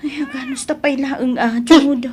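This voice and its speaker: A woman playing a hardworking, exasperated girlfriend in a Filipino audio drama, with expressive delivery. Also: sits high, a little slow.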